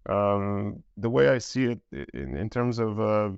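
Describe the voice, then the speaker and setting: Low gravelly man from Romania. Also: expressive, unhurried, no echo, highs cut off. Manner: loose, with filler words. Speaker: guest, tech lead. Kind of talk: casual panel talk.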